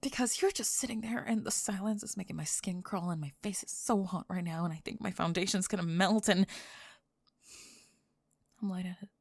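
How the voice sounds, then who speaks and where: A close, dry ASMR roleplay voice of a woman acting as a young goth girl who bullies to hide her crush. She is flustered and breathless, tripping over her words as she over-explains herself in mid-range pitch. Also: normal speed, dry recording, lightly acted. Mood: panicked rambling. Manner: rambling, stammering, breathless panicked over-explaining.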